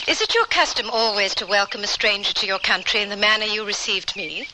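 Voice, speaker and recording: A woman's voice: mid-range voice, animated, brisk, pushed and bright. Prim headstrong genteel lady explorer, old radio play, pretty noisy audio. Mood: composed, direct. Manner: formal, genteel, precise phrasing. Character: prim, strong-willed, pious lady adventurer on horseback. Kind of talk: old-time radio drama acting.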